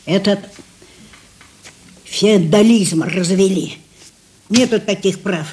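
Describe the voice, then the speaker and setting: An actress playing a folksy, gruffly kind woman giving advice in a radio play, colloquial and unhurried. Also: mid-range voice, darkish tone, lightly acted. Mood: gruffly sympathetic.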